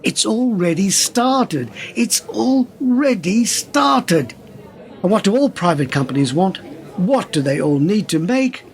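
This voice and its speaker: An actor in a radio play playing an impassioned whistleblower, speaking in an emphatic, conspiratorial way. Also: male voice, high voice, animated, slow, vocal fry, heavily acted. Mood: grave, ominous warning. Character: impassioned male whistleblower pressing a wary journalist.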